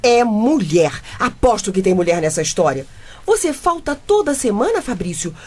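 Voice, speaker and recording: A woman's voice: medium pitch, big pitch swings, moderate pace, darkish tone. A woman playing a suspicious, exasperated middle-aged wife and mother in a comedic radio drama, questioning in a theatrical way. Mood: jealous, accusing.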